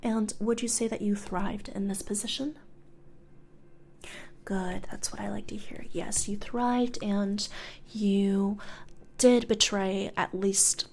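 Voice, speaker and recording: A woman playing a businesslike, politely sinister female demon recruiter in a soft, breathy ASMR whisper, close to the microphone. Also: mid-range voice, fairly even intonation, a little hiss or hum. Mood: approving, coolly sinister. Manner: hesitant, measured corporate politeness, murmured. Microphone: close studio condenser microphone.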